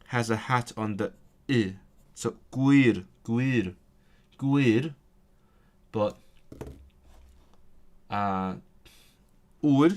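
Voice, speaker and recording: A young man chatting casually on a live stream while he studies, breaking off to repeat practice words aloud. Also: medium pitch. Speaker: language learning streamer.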